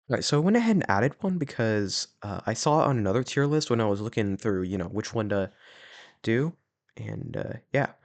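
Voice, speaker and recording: Young guy w a sore throat rambling thru a tier list, voice bounces up n down a lot, lots of uhs. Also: mid-range voice. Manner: rambling, with many "uh"s and "you know"s. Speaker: YouTube host ranking animatronic stages. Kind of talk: casual commentary over a tier list.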